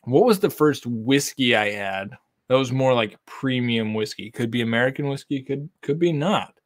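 A man's voice: medium pitch, no echo, clean studio sound. Chatty man hosting a whiskey livestream. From Texas. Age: 30s. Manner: relaxed, chatty, reading viewer comments aloud. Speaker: whiskey channel host. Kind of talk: casual livestream chat. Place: home bar with lit shelves of bottles. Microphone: desk condenser mic.

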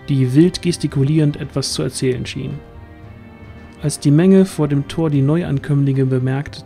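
A man's voice: mid-range voice, mellow. A man narrating a scripted fantasy audio drama as its storyteller.

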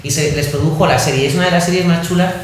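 A woman with a Spanish accent chatting animatedly on a panel, recommending series with quick asides and laughs. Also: lowish voice, steady tone, a little hiss or hum. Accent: Spanish from Spain. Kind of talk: casual panel chat. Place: large echoey library room. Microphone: table mic on stand. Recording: echoey room, audience murmur.

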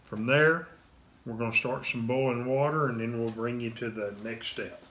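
A man's voice: mid-range voice, normal speed, fairly bright tone. A man, hosting a cooking demonstration in his home kitchen and walking plainly through each step. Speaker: cooking show host. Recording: roomy kitchen echo.

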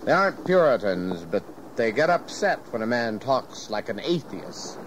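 An actor playing a jovial, garrulous, folksy ship captain in an audio history drama. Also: male voice, mid-range voice, sing-song, normal speed, close to an everyday voice. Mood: advising. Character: hearty, cantankerous-sea-loving ship captain, folksy and fatherly. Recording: clear background noise of ship ambience.

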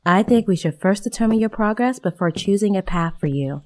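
A woman playing a calm, obliging small-town schoolteacher in a video game. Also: mid-range voice, a little fast, natural voice. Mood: calm, welcoming. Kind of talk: video game cutscene acting.

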